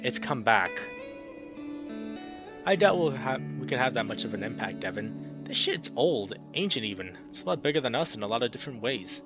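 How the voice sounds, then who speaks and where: Man voicing a caring, lively male coyote. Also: medium pitch, normal speed. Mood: wry, worried. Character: caring, teasing male coyote, ghostly boyfriend. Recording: dull lo-fi sound, music behind.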